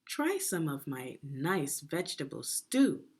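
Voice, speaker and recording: Woman as a kindly, low-voiced storybook cook. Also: very animated, slow pace, a little mellow, breathy edge, heavily acted. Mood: caring.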